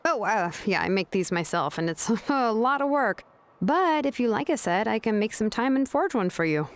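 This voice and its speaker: A woman voicing an easygoing young blacksmith in a video game, casual and unhurried in manner. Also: on the high side, expressive. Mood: relaxed.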